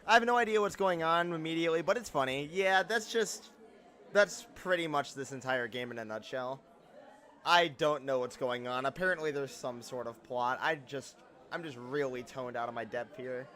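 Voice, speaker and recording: A man's voice: medium pitch, moderate pace, sharp tone. A man hosting a casual gaming livestream, rambling in a self-deprecating way. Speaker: livestream host, gamer. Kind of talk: casual livestream chat over gameplay. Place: live game stream, music behind the voice.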